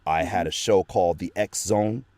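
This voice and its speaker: A man's voice: low voice, moderate pace, a little mellow, dry recording. A man telling his story in a casual interview, earnest and rambling with lots of fillers, into a home studio microphone. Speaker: guest, actor and voice actor.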